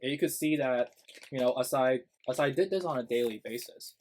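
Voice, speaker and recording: A man's voice: mid-range voice, brisk, darkish tone, slight background noise. A young man with a Chinese accent, a native Chinese speaker, talking casually to his channel's viewers in an earnest, rambling way, full of fillers and "right?" tags. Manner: rambling, earnest, many fillers and tag questions. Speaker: YouTube channel host. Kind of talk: casual personal talk to camera.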